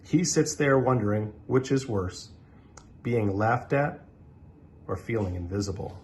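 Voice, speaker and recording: A man reading a children's story aloud in an animated, expressive way, with dramatic pauses. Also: on the low side, a little hiss or hum.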